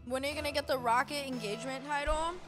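A woman in her twenties chatting casually on a live stream, reading and answering chat with excitable bursts. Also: high voice, fast. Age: 20s. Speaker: esports co-streamer. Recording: game music under the voice. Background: game music and car sounds.

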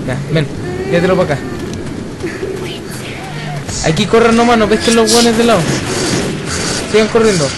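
Chilean young man, high-pitched gamer voice. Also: normal speed, pauses often, very noisy. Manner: directive, slangy, repeats instructions. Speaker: gamer leading a co-op zombie shooter session. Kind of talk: casual game commentary with teammates. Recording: loud game audio over the voice. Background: video game sound and music.

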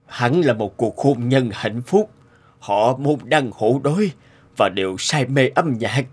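A man voicing a sorrowful, hesitant elderly war veteran still in love, in an animated melodrama. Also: mid-range voice, slow, breathy edge. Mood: resigned sorrow. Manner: hesitant, wistful.

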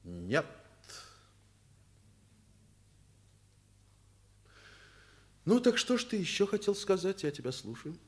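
An actor playing a principled, fatherly male school headmaster in a radio drama, speaking deliberately and emphatically with pauses between phrases. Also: high-pitched, huge pitch swings, breathy edge, full character voice. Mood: firm, calm. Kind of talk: Soviet radio drama acting.

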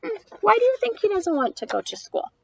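A woman's voice: very high-pitched, wildly swooping pitch, fairly quick, vocal fry, a bit bright, no room sound, low-quality recording. A woman in her thirties speaking as a preschool teacher to young children, in an exaggerated sing-song read-aloud delivery. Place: outdoors on a house porch.

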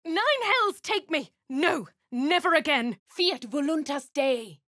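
A woman with a posh English accent voicing a young female adventurer in a video game, shouting short spell incantations forcefully. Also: sits high, animated, slow, no echo, heavily acted. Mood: casting. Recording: dry studio recording.

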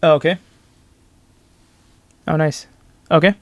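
A man's voice: on the high side, steady tone, slow pace, no echo. A man chatting casually and in an easygoing way as he demonstrates a product as video host.

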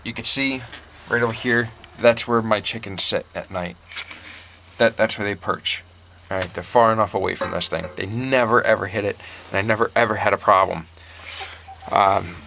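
A man's voice: on the low side, creaky, sharp tone. A man giving casual, informal how-to vlog talk, stressing points by repeating them, recorded on a handheld camera. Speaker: backyard chicken keeper and vlogger. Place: outdoors in a yard and inside a small wooden coop. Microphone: handheld camera, operator behind it. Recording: noisy handheld camera audio.

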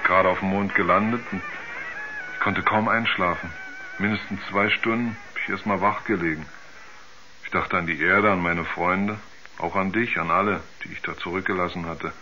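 An actor playing a troubled astronaut who haltingly recalls his moon journey in a colloquial way, in a 1970s German radio play. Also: low-pitched, fairly even intonation, sharp tone, slight background noise. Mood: reflective. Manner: halting, colloquial, searching for memories. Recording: muffled 1970s radio recording.

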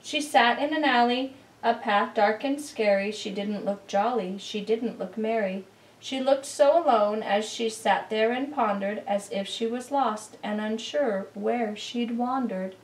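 A middle-aged woman giving an expressive, deliberate picture-book read-aloud as a children's presenter. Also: a little high. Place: indoor living room beside a Christmas tree. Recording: roomy, distant camera microphone.

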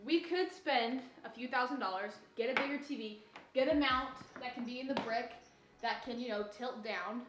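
Young American woman, highish voice, echoey room. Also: fairly bright tone. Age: 20s. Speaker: vlog co-host. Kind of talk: casual chat, showing a house. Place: rooms of a house. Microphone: handheld camera a few steps away. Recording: echoey, noisy house rooms, off-camera mic.

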